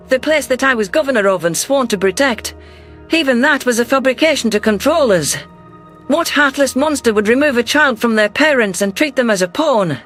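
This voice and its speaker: A man's voice: extremely high pitch, a bit bright. A man voicing an emotional female alien governor, narrating a science fiction audiobook in an earnest, formal, dramatic way. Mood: indignant.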